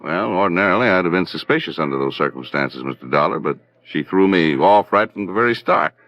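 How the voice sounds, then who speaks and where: Deep, animated, aggrieved American hotel man. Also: a little fast. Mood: exasperated. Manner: expressive, aggrieved storytelling. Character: rueful, indignant, talkative male resort hotel manager. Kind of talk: 1950s American radio drama acting. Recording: dull vintage radio recording.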